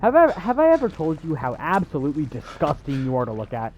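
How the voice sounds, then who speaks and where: A man's voice: on the high side, huge pitch swings, fast talker, muffled recording, background noise. A young man giving casual, sarcastic video game walkthrough commentary with crude jokes. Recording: game music under the voice. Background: game music and sounds.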